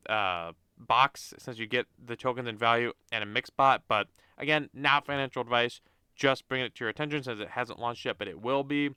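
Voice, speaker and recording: A man's voice: mid-range voice, pushed and bright, clean tone, no echo, clean studio sound. A young man with a stuffy nose from a cold, casually talking through a website on screen with lots of fillers and restarts. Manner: rambling, many fillers and restarts. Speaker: crypto channel host. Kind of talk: casual screen-share walkthrough.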